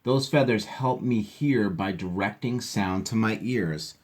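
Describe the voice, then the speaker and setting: A man's voice: on the low side, unhurried, darkish tone, natural voice. A man voicing a proud harpy eagle from a children's picture book, making firm declarations.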